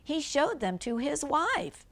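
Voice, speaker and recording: Older woman reading a storybook, slow and expressive. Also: sits high. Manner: simple explanations, gentle questions to a young listener. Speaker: grandmother reading a storybook to children. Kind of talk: read-aloud children's storybook with chatty asides.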